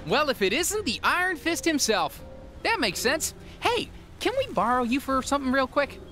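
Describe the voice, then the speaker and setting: A woman's voice: high voice, very animated, moderate pace, bright, forceful tone, faint music behind, heavily acted. A woman playing a breezy, wisecracking young superhero in a video game, casual and sarcastic. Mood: wry, breezy.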